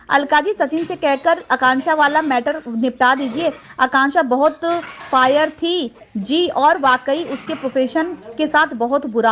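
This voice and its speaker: A woman's voice: high-pitched, a bit bright. A woman doing casual vlog commentary, reading messages aloud and addressing viewers directly in an indignant, emphatic manner.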